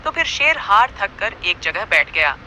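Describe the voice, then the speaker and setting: A man's voice: sits high, big pitch swings, normal speed, pushed and bright, slight background noise, poor audio. A man narrating a scripted animal fable as a storyteller.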